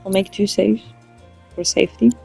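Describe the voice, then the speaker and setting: A woman's voice: mid-range voice, fairly even intonation, normal speed, creaky, mellow, breathy edge, faint music behind. A woman giving casual let's play commentary, hesitant and thinking aloud as she goes. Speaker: gamer, let's play host.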